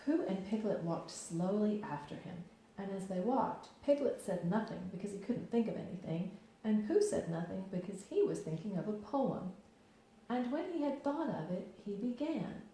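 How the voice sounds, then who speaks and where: Brief: woman teacher, expressive read-aloud narrator, lively pitch, echoey room. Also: moderate pace, a little hiss or hum.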